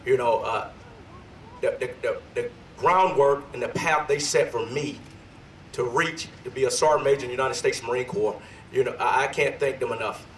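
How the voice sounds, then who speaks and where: Slightly hoarse, chatty sergeant major. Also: male voice, mid-range voice, normal speed, slight background noise. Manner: loose and talkative, many fillers, energetic. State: a little hoarse. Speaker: sergeant major giving a history talk. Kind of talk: ceremonial speech. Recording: distant echoey PA outdoors.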